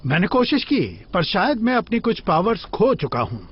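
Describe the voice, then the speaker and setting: Cartoon martial arts mentor, instructive, lively intonation, slightly high voice, muffled audio. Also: male voice, normal speed, breathy edge, heavily acted. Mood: gently teasing. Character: male kung fu master, lecturing mentor to the hero. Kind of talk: dubbed cartoon acting. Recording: muffled lo-fi dub with loud music.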